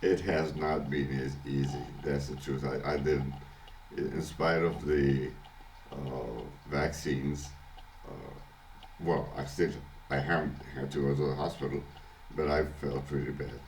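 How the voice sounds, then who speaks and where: An older man with a congested, hoarse voice from illness, talking in a halting casual video diary at home, often chuckling. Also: a bass voice, fairly even intonation, a little mellow, slight background noise. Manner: halting, many restarts and fillers, chuckles often. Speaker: vlogger. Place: at home, early morning.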